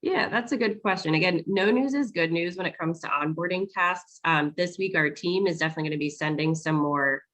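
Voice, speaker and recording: A woman's voice: on the low side, a little fast, hardly any pauses, a bit bright. A woman hosting a virtual town hall on a video call, explaining onboarding steps in a friendly, casual way with many ums. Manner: friendly, filler-heavy explaining. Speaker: onboarding staff host.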